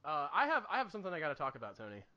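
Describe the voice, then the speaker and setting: A man co-hosting a podcast in casual comedic chat, telling stories in an emphatic, animated way with repetition for effect. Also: on the high side, racing, muffled recording, crowd noise. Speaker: podcast co-host.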